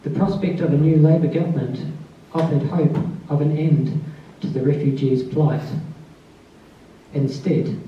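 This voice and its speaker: A soft-spoken man speaks at a book festival event, first introducing a fellow writer and then reading his own short piece. His voice is dark and mellow and sits a little high, and it comes from some way off the mic. The sound is dull, with heavy room echo and a faint hiss. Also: steady tone, unhurried. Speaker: author giving a tribute reading. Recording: distant, dull, very echoey room.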